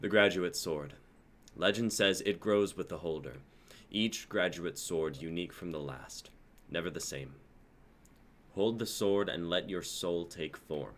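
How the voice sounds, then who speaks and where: A man's voice: low-pitched, measured pace, fairly bright tone, slight background noise. A man playing a commanding principal of a knights' council, solemn and proclamatory, in an amateur fantasy drama reading.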